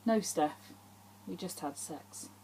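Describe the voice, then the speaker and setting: A woman's voice: on the low side, slow pace, warm tone, rough recording. A woman voicing a regretful, subdued young man leaving his lover, in audiobook dialogue. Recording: faint background hum.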